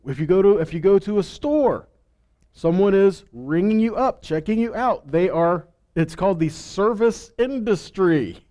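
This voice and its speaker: A man's voice: sits high, animated, measured pace, warm tone. A man giving an informal sermon as a Bible teacher, reading verses and commenting conversationally with rhetorical questions and imagined replies.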